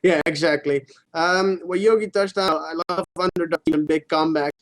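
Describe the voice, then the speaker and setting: A gaming stream co-host talks casually about esports. The voice sits at a middling pitch, and the manner is informal, with casual swearing. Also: normal speed, rough recording.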